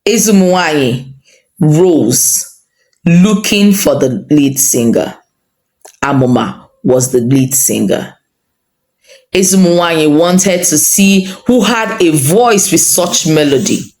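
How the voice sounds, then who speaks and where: A woman with a Nigerian accent narrating a folktale in a measured, dramatic, expressive storytelling style. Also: low voice. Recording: roomy echo under light music. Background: music.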